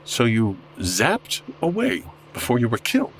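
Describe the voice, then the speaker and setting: A man's voice: mid-range voice, wildly swooping pitch, a little slow, a little hiss or hum. Fretful 1940s man, wildly swooping voice. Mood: weary, puzzled. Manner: fretful, earnest. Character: anxious, bewildered man from the 1940s on the run. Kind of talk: comedy audio drama acting, old-time radio flavour.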